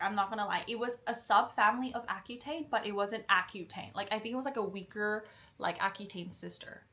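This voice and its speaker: A woman's voice: medium pitch, sharp tone. A young woman from Singapore in a casual question-and-answer chat, talking candidly with frequent "like" and "um". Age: young adult. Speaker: vlogger.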